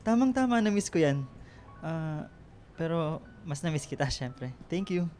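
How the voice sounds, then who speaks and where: An actor playing a devoted, plain-spoken boyfriend in a radio drama. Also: male voice, a little high, lively intonation, a little slow, a little mellow, a little hiss or hum. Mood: affectionate, playful.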